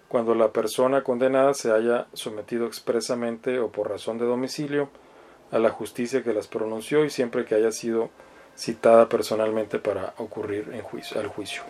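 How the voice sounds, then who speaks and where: A man's voice: mid-range voice, steady tone, normal speed, darkish tone. A man from northern Mexico with a Mexican accent, lecturing as a law professor in a didactic, hesitant way, often seeking agreement with small questioning tags. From Mexicali, Baja California, Mexico. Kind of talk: explanatory law lecture, reading articles aloud and commenting.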